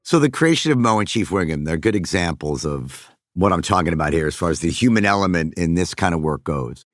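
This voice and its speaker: A man's voice: deep voice, animated, brisk, dry recording, studio quality. A middle-aged man, a voice actor, musing on his craft in a podcast monologue and slipping now and then into character voices.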